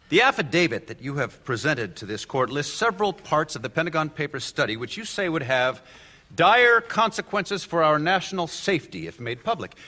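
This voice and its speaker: A man's voice: on the high side, pushed and bright, full character voice. An actor playing a determined male defense lawyer, questioning a witness pointedly in a live stage radio drama. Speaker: defense attorney. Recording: live stage recording with long reverb.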